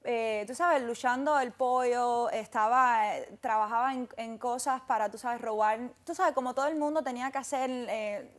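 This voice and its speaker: A young woman with a Cuban accent telling personal stories casually and haltingly, with many filler phrases, as an interview guest. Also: on the high side. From Cuba. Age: young adult. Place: television studio set with sofas.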